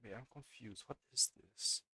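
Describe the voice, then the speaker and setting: A man's voice: normal speed, pushed and bright, a little hiss or hum. A man casually commentating on a game live, thinking aloud in a hesitant way. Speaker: game streamer.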